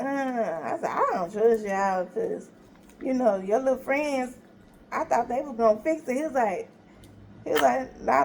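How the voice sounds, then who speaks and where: Talkative woman retelling a story, high voice with some gravel, faint hiss behind her. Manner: animated retelling, acts out both sides with quoted dialogue; talkative, laughing, exasperated. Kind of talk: casual storytime vlog.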